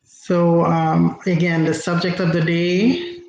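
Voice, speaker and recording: A woman from Haiti whose first language is Haitian Creole, giving an inspirational talk as host, slow and reflective with frequent pauses. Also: lowish voice, little pitch movement, vocal fry, a little mellow. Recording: roomy, dull lo-fi recording with faint noise.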